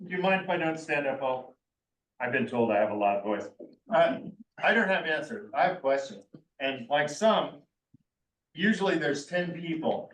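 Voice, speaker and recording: A man giving a public comment at a community meeting, hesitant and questioning, with many pauses and fillers. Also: on the high side, expressive, a bit bright. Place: meeting room. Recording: echoey room, distant mic.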